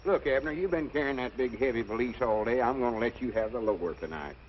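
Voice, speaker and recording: A man's voice: mid-range voice, lively intonation, quick pace, natural voice. A noisy, muffled old radio recording of a man playing a folksy country fellow with a Southern drawl. He is stubborn and naive, with homespun turns of phrase and a voice that swings freely up and down. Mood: bossy. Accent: Southern American. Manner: rustic drawl with homespun expressions. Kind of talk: 1940s radio comedy acting.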